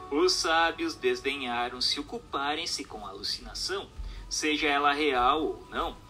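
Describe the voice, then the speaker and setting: A man's voice: fairly high pitch, pushed and bright. A man with a Brazilian accent reading a formal, didactic text aloud as scripted narration.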